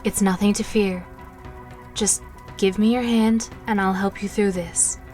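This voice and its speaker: A woman playing a gentle, reassuring young witch in an audio drama, soothing and coaxing. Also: medium pitch, steady tone, unhurried, lightly acted. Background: music.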